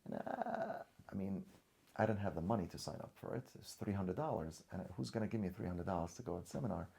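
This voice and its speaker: Mellow-voiced man telling his story. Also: deep voice, lively intonation, fast, gravelly. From Syria. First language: Arabic. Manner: earnest, with frequent restarts and repeated words. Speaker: podcast guest. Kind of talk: personal storytelling in conversation.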